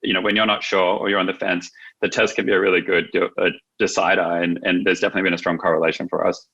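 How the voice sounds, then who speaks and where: A man's voice: deep voice, level tone, fast, creaky, fairly bright tone, breathy edge, lo-fi sound. A man speaking as an interview guest, explaining his business in an informal, wordy, conversational way. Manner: informal, wordy explaining with frequent "like" and "you know". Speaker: business founder, podcast guest.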